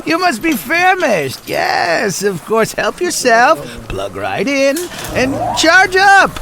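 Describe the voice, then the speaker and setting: A man playing a nervous, flattering half-human rest-stop keeper in a sci-fi audio drama, with an excitable, theatrical manner. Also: very high voice, slow, vocal fry, exaggerated voice. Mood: fawning, ingratiating.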